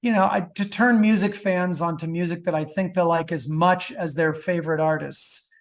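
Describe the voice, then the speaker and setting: A middle-aged man speaks in a noticeably high register for a male voice. He is an author guest in casual conversation, keen on his subject and often branching off into asides. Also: fairly even intonation, moderate pace. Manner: enthusiastic, digressive. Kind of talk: casual interview chat.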